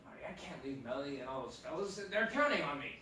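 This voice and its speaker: A man's voice: on the high side, big pitch swings, fast talker, pushed and bright, distant mic. An actor playing an earnest, friendly, hopeful family man with a sincere manner, in old-time radio comedy style. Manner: sincere, amiable. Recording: echoey theatre stage from afar, noisy.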